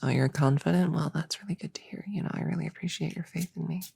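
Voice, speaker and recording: A woman playing a young, earnest novice witch in a soft, partly whispered ASMR roleplay, chatting hesitantly and intimately as if to a friend. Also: deep voice, fast, no room sound, heavily acted. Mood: anxious, hopeful. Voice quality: breathy, soft.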